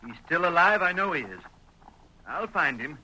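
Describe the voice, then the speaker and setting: An actor playing an earnest, stubborn young sheriff bent on vengeance, with a folksy American accent, in 1940s radio western style. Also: male voice, on the high side, sing-song, normal speed, pushed and bright, exaggerated voice. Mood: grimly determined. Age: young adult. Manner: folksy, dogged. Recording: faintly noisy old radio recording.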